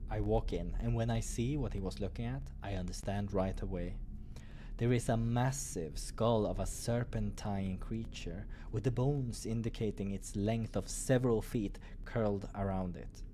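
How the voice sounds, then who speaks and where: A man narrates a visual novel playthrough. His voice is fairly low and very mellow, reading story prose aloud, with faint noise in the background. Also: moderate pace.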